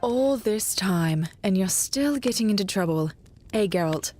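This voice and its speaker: A woman's voice: mid-range voice, expressive, measured pace, a little mellow, slight background noise, natural voice. A woman playing a wry, guarded bard in an audio drama. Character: wry female bard, guarded old friend. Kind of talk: fan audio drama acting.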